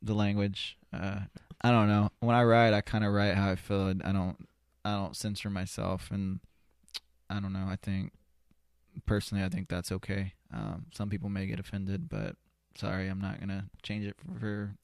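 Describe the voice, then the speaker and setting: Guy with a kinda low voice being interviewed, rambly, lots of you knows, dry studio sound. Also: flat delivery, normal speed, gravelly, no echo. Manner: hesitant, rambling, repeats "you know". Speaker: podcast guest, spoken word poet. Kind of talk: casual conversational interview answers. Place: indoor studio.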